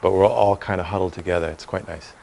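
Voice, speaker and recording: A man's voice: deep voice, fairly even intonation, fast talker, creaky, warm tone, slight background noise. A middle-aged man from the United States with an American accent, speaking articulately and earnestly as an executive in a conversational interview. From Cleveland, United States. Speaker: real estate executive being interviewed.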